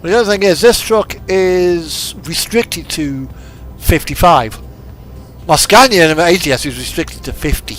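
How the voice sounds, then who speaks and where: A man with a fairly high voice talks casually as he plays a driving game for viewers. His delivery is easygoing and rambling, like relaxed livestream chat. Music plays softly behind him. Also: measured pace. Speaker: livestreamer playing a truck driving simulator. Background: background music and game truck engine.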